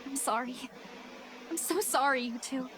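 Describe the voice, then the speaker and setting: A woman playing a timid, guilt-ridden young woman who speaks softly and haltingly in a horror game. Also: sits high, a little hiss or hum. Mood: guilty, apologetic. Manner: soft, halting, apologetic. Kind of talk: visual novel game voice acting.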